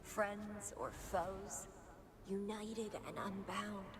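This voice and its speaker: Obsessive, brooding young woman fighter, slow-spoken. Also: mid-range voice, steady tone. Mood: resolute. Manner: theatrical, slow, brooding monologue. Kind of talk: dramatic video game voice acting. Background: dramatic game music.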